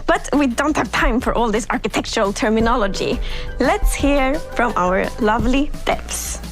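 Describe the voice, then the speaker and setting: Norwegian-accent woman hosting a game broadcast, friendly, bit high voice. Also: moderate pace, music in the background. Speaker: game director presenting. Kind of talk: scripted presentation.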